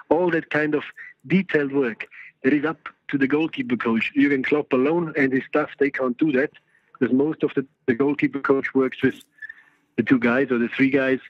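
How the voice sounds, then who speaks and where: Man, halting speech with fillers, dull lo-fi phone line, dry. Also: mid-range voice, no echo. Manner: hesitant, many fillers and restarts. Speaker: phone-in guest, goalkeeping coach educator. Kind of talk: casual phone interview.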